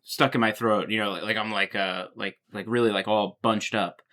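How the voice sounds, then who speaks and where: A man's voice: on the low side, fairly even intonation, moderate pace, bright, forceful tone. A man on a casual podcast, explaining things with many fillers. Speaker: podcast guest. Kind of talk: casual chat.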